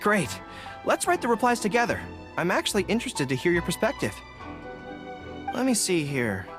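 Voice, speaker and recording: A man's voice: sits high, sing-song, normal speed, over music. A young man playing an earnest, awkward, easily flustered dog-eared general in an anime-style video game. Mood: earnest, hesitant. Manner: earnest, hesitant, boyish.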